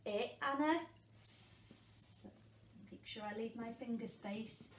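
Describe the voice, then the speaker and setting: A woman, a primary school teacher, leading an interactive phonics lesson for young children, encouraging them and asking questions with pauses for answers. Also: on the high side. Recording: echoey room with faint background noise.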